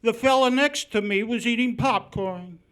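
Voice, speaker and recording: A man's voice: extremely high pitch, moderate pace, fairly bright tone, clear, resonant tone. A man playing a meek, doleful, henpecked postman in live old-time radio comedy style. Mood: mournful deadpan.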